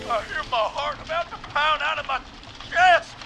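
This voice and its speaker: An actor playing an excitable, panicked man calling for help in a video game, speaking in frantic exclamations. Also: very high-pitched, normal speed, vocal fry, over music. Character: panicked, grateful man trapped in a dumpster.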